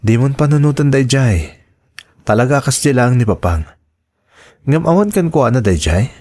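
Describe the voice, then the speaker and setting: A man's voice: medium pitch, animated, moderate pace, darkish tone, natural voice. An actor playing a reassuring, conciliatory young husband who defers to his father, in a radio-style drama.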